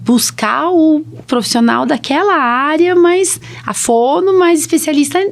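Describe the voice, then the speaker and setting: A woman's voice: high voice, a little slow, vocal fry. A woman with a Brazilian Portuguese accent hosting a casual podcast as a physician, explaining things chattily and often checking for agreement with short tag questions.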